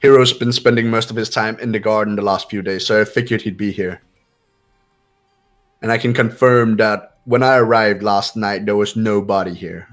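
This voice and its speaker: Man as low, haughty, commanding young man. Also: steady tone, normal speed, fairly bright tone, faint music behind, natural voice. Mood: matter-of-fact, superior. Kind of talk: amateur visual novel voice acting.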